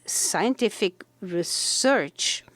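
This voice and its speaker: Slow, deliberate woman at a conference. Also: mid-range voice, animated, fairly bright tone. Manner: measured, deliberate, formal. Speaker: civil society delegate. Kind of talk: prepared comment at a conference. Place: large conference hall.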